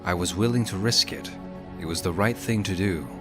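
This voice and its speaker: An actor voicing a calm, terse warden hero in a video game. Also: male voice, sits low, normal speed, lightly acted. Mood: calm, direct. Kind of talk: video game dialogue acting. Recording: game music behind.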